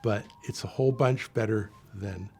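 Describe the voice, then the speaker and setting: A man's voice: lowish voice, normal speed, over music. A man giving a plain, unscripted, instructive explanation as he demonstrates equipment. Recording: faint background noise.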